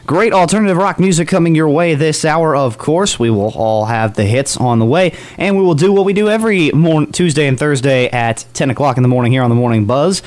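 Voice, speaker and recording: A man's voice: on the high side, lively intonation, fairly quick, few pauses, darkish tone. A man hosting a college radio morning show in upbeat, chatty DJ patter with emphatic openings.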